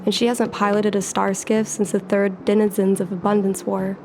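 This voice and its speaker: A woman's voice: medium pitch, normal speed, natural voice. A woman playing a spirited, earnest young fox-woman who dreams of piloting, in a video game. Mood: proud, wistful. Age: young adult. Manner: perky, expressive, earnest. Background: music.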